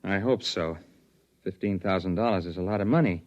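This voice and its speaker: A man's voice: low voice, fairly quick, natural voice. An American actor playing a wry, plainspoken everyman time traveler in a 1950s radio drama. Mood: hungry, wry. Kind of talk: 1950s radio drama acting, narration and dialogue. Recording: dull vintage 1950s radio recording.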